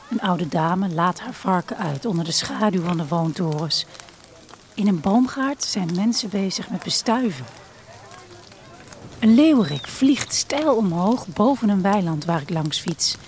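A woman's voice: fairly low pitch, expressive, measured pace, darkish tone, slight background noise. A woman reading first-person literary fiction as the narrator of an audio drama.

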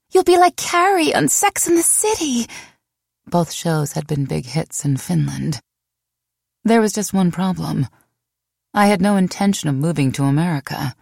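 Low-voiced woman narrating an audiobook. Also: creaky, warm tone, breathy edge, dry recording, studio quality. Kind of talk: scripted memoir narration.

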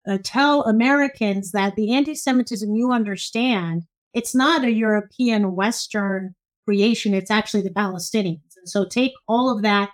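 A woman from Egypt, a law professor giving measured, emphatic explanations as an interview guest. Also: medium pitch.

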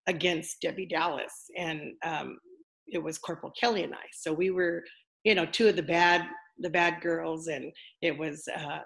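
Deep-voiced older woman, gravelly, chatty. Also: steady tone, moderate pace, a bit bright, low-quality recording. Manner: chatty, rambling, many fillers. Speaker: former wrestler, interview guest. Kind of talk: casual interview.

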